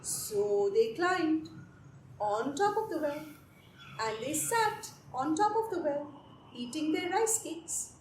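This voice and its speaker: High-voiced woman storyteller in an echoey room. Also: lively intonation, takes it slowly, darkish tone. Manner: repeats phrases for effect, addresses listeners directly. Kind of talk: animated oral folktale retelling for children. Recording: echoey room with background noise.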